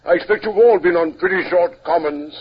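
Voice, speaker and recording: An actor playing a hearty, commanding British admiral with clipped, jovial delivery in a 1950s radio drama. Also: male voice, high voice, a little fast, slightly rough. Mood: bluff, genial. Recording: dull, noisy 1950s radio recording.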